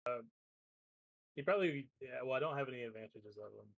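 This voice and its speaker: A man's voice: medium pitch, fairly quick, vocal fry, no room sound, low-quality recording. A man chatting casually as a tabletop game player, hesitant and questioning as he works things out.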